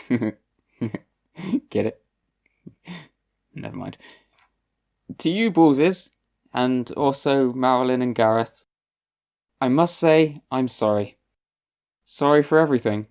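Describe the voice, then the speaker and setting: Pompous low-voiced gentleman ghost played by a woman. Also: animated, slow, pauses often, full character voice. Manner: pompous, sarcastic, theatrical. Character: arrogant, self-centred male writer, now a petulant ghost. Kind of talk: comic audio drama acting.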